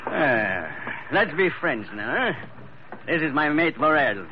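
A menacing schooner captain gives orders in blunt, broken grammar, with a quiet threat under every line. His voice is somewhat high, heard through a dull old radio recording with a clear hiss. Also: sing-song, slow pace, heavily acted. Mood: coaxing.

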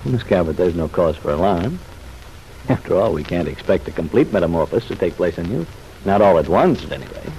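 An actor playing a kindly, patient psychiatrist with soothing, formal phrasing in an old radio soap opera. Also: male voice, low-pitched, sing-song, brisk, mellow, dull audio. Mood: reassuring. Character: kindly reassuring male psychiatrist, genial, patient, professional. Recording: faintly noisy vintage radio recording.

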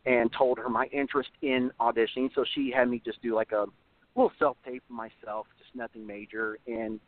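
A slightly hoarse young man, an actor, chatting casually in an interview, rambling with many fillers and restarts. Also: mid-range voice, flat delivery, fairly quick, no room sound. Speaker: actor and voice actor guest. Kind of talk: casual interview over the phone. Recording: tinny phone line, dry.

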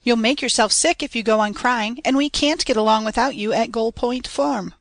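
A woman's voice: fairly high pitch, normal speed, lightly acted. A woman voicing a hearty, kindly young farmhand boy in a story reading, folksy and sympathetic.

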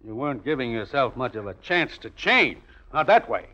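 An actor playing a shrewd, commanding gang boss in a 1940s style radio drama. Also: male voice, medium pitch, very animated, bright, forceful tone. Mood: cool, probing. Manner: brisk, takes charge. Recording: muffled old radio broadcast recording.

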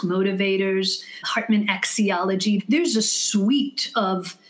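Woman calmly explaining leadership advice. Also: mid-range voice. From United States. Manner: measured, explanatory, uses examples. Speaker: guest, leadership consultant. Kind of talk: interview answers.

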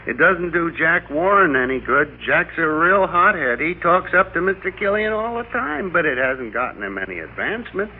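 An American actor playing a meek, henpecked office clerk in a hesitant, apologetic way, in old-time radio drama style. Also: male voice, fairly high pitch, expressive, normal speed, few pauses, phone-quality sound. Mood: mildly protesting. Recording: thin, noisy vintage radio recording.

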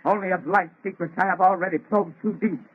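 A man's voice: high voice, steady tone, a little fast, breathy edge. A man playing a troubled, guilt-ridden scientist, speaking in an earnest, formal, melodramatic 1930s radio manner. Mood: horrified defiance. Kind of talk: 1930s radio horror acting. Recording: muffled, lo-fi vintage radio recording.

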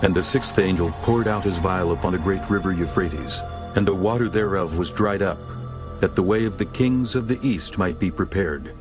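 A man's voice: deep voice, moderate pace, music in the background. A man narrating a dramatized scripture audiobook in a solemn, formal manner.

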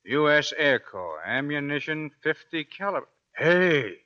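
A man's voice: on the high side, very animated, a little slow, sharp tone, full character voice. A man with an American accent playing a brash, griping sailor with slangy speech in a 1940s radio drama. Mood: needling. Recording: dull 1940s radio recording.

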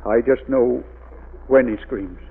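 An actor playing a loyal, reluctant caretaker with a rustic, hesitant way of talking, in a 1940s radio drama. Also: male voice, medium pitch, slow, gravelly, husky, exaggerated voice. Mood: reluctant, nervous. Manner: rustic, plain-spoken, hesitant drawl. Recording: tinny old radio recording.

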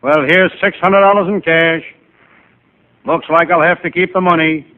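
A male comedian playing a wisecracking, hapless private eye, narrating in deadpan first person in old-time radio comedy style. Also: a little high, normal speed, close to an everyday voice. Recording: noisy, tinny vintage radio recording.

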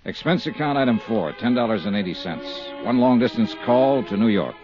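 An actor playing a tough, persistent insurance investigator in a 1950s radio drama, with a clipped, direct, probing delivery. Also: male voice, mid-range voice, expressive, brisk, music in the background, natural voice. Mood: dry narration. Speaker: lead detective and narrator. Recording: muffled 1950s radio recording.

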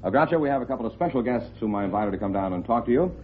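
A man's voice: mid-range voice, lively intonation, very fast, faint music behind. A man working as a quiz show announcer and sidekick, explaining rules and scores to contestants. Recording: noisy, tinny old radio broadcast.

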